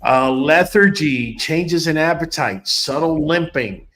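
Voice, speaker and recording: A man's voice: fairly high pitch, expressive, takes it slowly, fairly bright tone, faint music behind. A man hosting a casual livestream, talking straight to his viewers in a chatty way and often repeating words. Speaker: livestream host.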